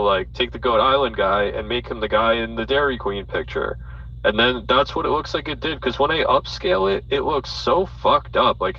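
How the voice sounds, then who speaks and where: A man's voice: mid-range voice, bright, forceful tone, far from the mic. A man hosting a live audio chat, rambling and swearing as he thinks aloud, with plenty of fillers. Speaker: host. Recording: dull audio from off mic with faint noise.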